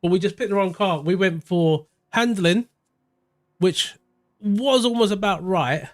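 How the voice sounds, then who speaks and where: A man from Portugal streaming a racing game live, shouting and crying out during close racing moments, then chatting calmly with viewers. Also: high voice, measured pace. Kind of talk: live gameplay commentary and chat reading.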